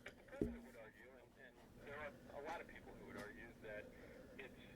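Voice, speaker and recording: Male news interviewer asking questions, ordinary pitch, super noisy faint recording. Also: fairly quick. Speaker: radio interviewer.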